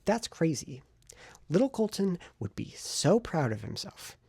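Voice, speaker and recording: Male writing vlogger, casual and effusive, fry-laden husky voice, close dry sound. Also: on the high side, sing-song, unhurried, mellow, no room sound. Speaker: novelist vlogger documenting writing progress. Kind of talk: casual vlog chat to camera.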